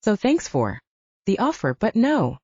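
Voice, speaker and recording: A woman voicing a defiant, sharp-tongued young she-wolf, indignant and sarcastic, in audiobook dialogue. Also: medium pitch, huge pitch swings, measured pace, husky, dry recording, highs cut off, voice pushed up, heavily acted.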